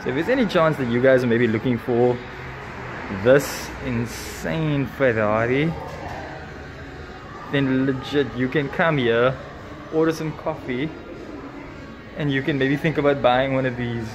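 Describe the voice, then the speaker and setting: A young man chatting excitedly to his phone camera in a cafe, with lots of fillers like "like" and "guys". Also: mid-range voice, unhurried, long pauses, rough recording. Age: young adult. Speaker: vlogger reviewing a cafe. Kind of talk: casual vlog. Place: indoor cafe with classic cars. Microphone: phone camera at arm's length, no lapel mic. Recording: phone audio with loud background music. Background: loud music playing in the cafe.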